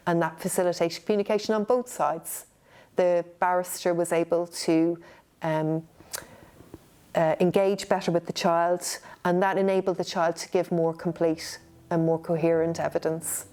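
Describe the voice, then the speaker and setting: A woman working as an intermediary, explaining her work in a measured interview style. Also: on the low side, a little mellow. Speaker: witness intermediary. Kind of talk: interview talk about own work. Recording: faint music behind.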